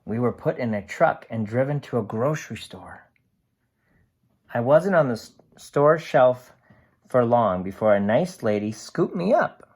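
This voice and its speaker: A reader voicing a cheerful, excitable aluminum can in a storybook diary, bubbly and expressive. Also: mid-range voice, a little slow, slightly rough. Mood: happy, excited. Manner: bubbly, wide-eyed, expressive storybook delivery.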